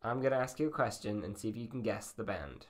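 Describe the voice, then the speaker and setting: A man's voice: on the low side, animated, fast talker. A man hosting a podcast in a casual, rambling and self-deprecating way. His voice sounds slightly feminine. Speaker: podcast host. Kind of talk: casual chat.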